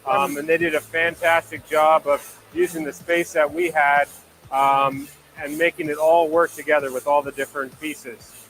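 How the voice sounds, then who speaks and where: A man's voice: fairly high pitch, level tone, normal speed, fairly bright tone, a little hiss or hum, low-quality recording. A man explaining production equipment in a promotional video, in plain practical language. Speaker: brewery production worker overseeing brewing and packaging.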